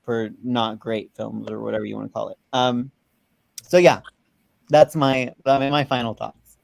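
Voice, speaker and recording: A man's voice: medium pitch, flat delivery, normal speed, creaky, a little mellow, no room sound. A man in a casual film discussion, rambling and repeating words as he thinks aloud. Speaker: film review panelist.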